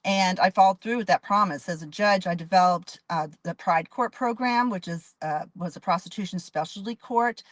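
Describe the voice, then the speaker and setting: A woman in her fifties with a fairly low voice speaks earnestly as a judge in an interview. She lays out her experience in long, detailed answers and often restarts her sentences. The sound is close and dry. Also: moderate pace, hardly any pauses, dry recording. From Dallas, Texas. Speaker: judge and candidate.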